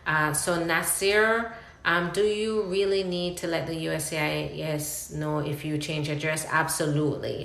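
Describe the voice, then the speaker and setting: A woman's voice: low voice, a bit bright, echoey room. A woman with a Jamaican accent, an immigration attorney answering questions in a live-stream Q&A, explaining carefully with frequent ums and restarts.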